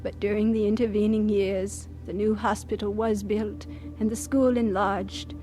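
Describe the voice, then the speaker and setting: An actress playing a devoted missionary doctor, reflective and sincere, narrating and acting in an audio drama. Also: female voice, mid-range voice, flat delivery, moderate pace, warm tone, faint music behind, natural voice, rough recording. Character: composed, devoted female missionary doctor, reflective and warm-hearted.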